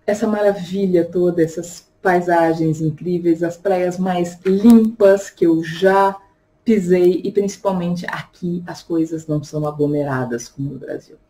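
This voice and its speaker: A woman's voice: lowish voice, measured pace, mellow, poor audio. A woman from Brazil talking casually to her channel in a reflective personal vlog, chatty, often checking in with her viewers. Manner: chatty, reflective, frequent tag questions. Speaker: vlogger and tarot reader.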